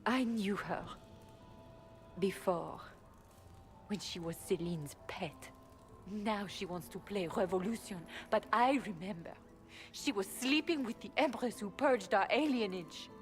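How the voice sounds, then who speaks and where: A woman's voice: medium pitch, a little slow, close to an everyday voice. Woman doing wary bitter elf servant girl in a fantasy game, guarded, bit of music under it. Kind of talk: fantasy video game voice acting.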